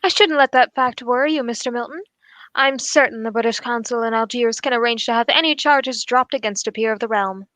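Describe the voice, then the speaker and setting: A woman playing a calm, courteous male detective in a formal, measured radio drama style. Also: a little high, dry recording, lightly acted. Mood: composed, courteous. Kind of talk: 1940s radio drama acting.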